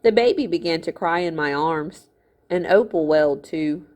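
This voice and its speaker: A woman reading a children's novel aloud as a storyteller, with expressive narration. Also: sits low, moderate pace.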